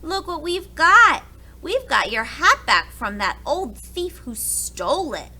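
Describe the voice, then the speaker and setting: A woman voicing excited, accusing young boys with urgent exclamations in a storybook reading. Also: high-pitched, slow pace, voice pushed up, full character voice. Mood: excited, triumphant.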